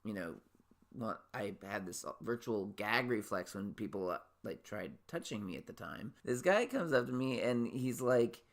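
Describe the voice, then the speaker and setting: A man in his late thirties hosting his podcast in a casual solo monologue. His voice sits naturally high, and he rambles with lots of restarts and fillers. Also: expressive, normal speed, vocal fry. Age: late 30s. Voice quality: naturally high voice he can drop lower. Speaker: podcast host.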